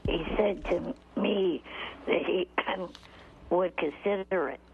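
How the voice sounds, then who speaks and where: A man calling a radio show by phone, talking in a halting, defensive and irritable way. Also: sits high, creaky. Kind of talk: casual, argumentative radio call. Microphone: telephone. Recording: tinny phone line, faint noise.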